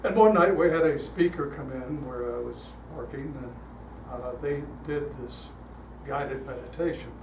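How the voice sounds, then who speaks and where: An older man speaking as a guest at a church, telling stories in a relaxed, chatty way with frequent fillers, his voice calm. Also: mid-range voice, a little slow, few pauses. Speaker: guest speaker leading a guided meditation. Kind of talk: storytelling talk to a congregation. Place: church hall. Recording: echoey hall, heard from a distance, noisy.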